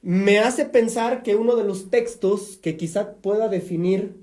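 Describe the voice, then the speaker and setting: A Mexican priest hosts a live-streamed faith program, talking at length in a chatty, preaching way with joking asides. His voice is mellow and dark. The sound bounces around an echoey room. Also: sits high, a little slow. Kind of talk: live-streamed religious talk show.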